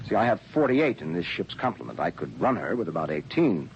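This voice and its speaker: Spaceship captain, worldly, matter-of-fact, hospitable, animated delivery, old radio play, dull hissy recording. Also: male voice, low-pitched, fairly quick. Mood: grave, explaining. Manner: authoritative, conversational explaining. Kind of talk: 1950s radio drama acting.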